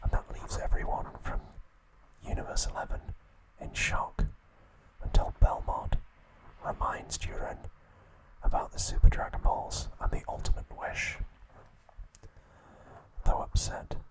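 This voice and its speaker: A man's voice: measured pace, rough recording. A man hosting an ASMR video, giving a whispered recap with playful asides. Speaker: ASMR channel host.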